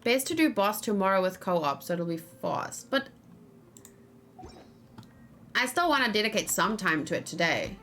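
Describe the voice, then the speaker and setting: A woman's voice: medium pitch, sing-song, moderate pace, long pauses, a bit bright, a little hiss or hum. A woman streaming a video game, thinking aloud informally and talking casually with her viewers. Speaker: video game streamer, let's play host. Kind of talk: casual live game commentary, chatting with viewers.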